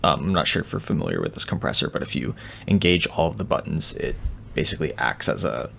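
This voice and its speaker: A man's voice: a bass voice, sing-song, fast, gravelly, bright, forceful tone, a little hiss or hum. A man giving a casual, unscripted technical walkthrough as an audio engineer, talking in a laid-back, explanatory way.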